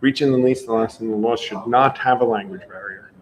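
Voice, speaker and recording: A man's voice: fairly quick, crowd noise, rough recording. A man hosting a casual livestream interview, thinking aloud and repeating himself for emphasis. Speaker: livestream host. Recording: clear rumbly background noise.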